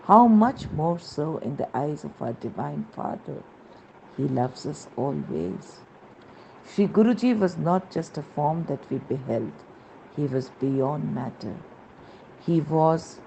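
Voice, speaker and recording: Woman, devotional narration, gravelly low voice, reverent, measured, emphatic phrasing, slightly noisy recording. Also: dark tone. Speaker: narrator reading a devotional book.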